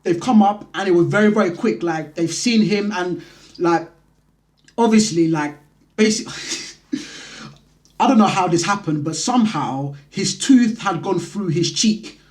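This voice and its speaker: High-voiced London man telling stories. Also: unhurried. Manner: conversational, slangy, animated retelling. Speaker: vlog host. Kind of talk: casual personal storytelling.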